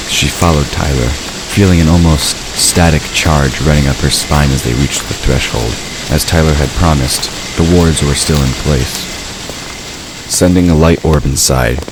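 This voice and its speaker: A man's voice: extremely low pitch, moderate pace. A man narrating a scripted story as the narrator of an audio drama. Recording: clear background ambient noise. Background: ambient sound effects.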